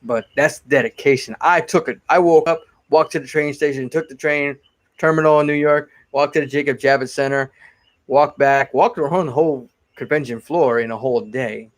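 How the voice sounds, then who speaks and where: A man's voice: mid-range voice, moderate pace. A man in his forties from Connecticut, chatting casually on a live stream as he answers viewer questions about his cosplay builds. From Connecticut, USA. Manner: chatty and rambling, often repeats himself.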